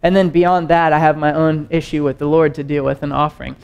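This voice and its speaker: A man's voice: fairly high pitch, brisk, gravelly, clear, resonant tone, dull audio. A young man teaching a sermon on a stage, talking casually and pacing as he gestures. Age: young adult. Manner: animated, conversational, gestures widely while pacing. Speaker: preacher. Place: stage with wood-panel backdrop and screen.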